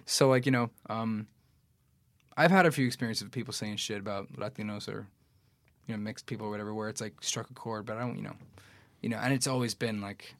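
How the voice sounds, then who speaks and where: A man's voice: fairly low pitch, a little fast, fairly bright tone, no room sound. A young man from New York City, an actor guest on a podcast, chatting casually with lots of fillers and swearing. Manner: loose and profane, many fillers.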